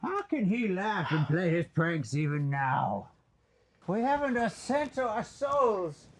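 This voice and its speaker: A woman playing a glum, scornful member of a penniless acting troupe, complaining in a drawn-out stage delivery. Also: mid-range voice, big pitch swings, a crowd in the background. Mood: glum complaint. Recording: noisy stage-play recording with clicks.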